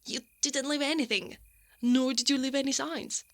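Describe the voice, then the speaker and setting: A woman's voice: high voice, steady tone, normal speed, a bit bright. A woman playing a brave, brash knight in an ASMR roleplay, protective and secretly smitten, with a defiant, exasperated manner that gives way to flustered stammers. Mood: incredulous.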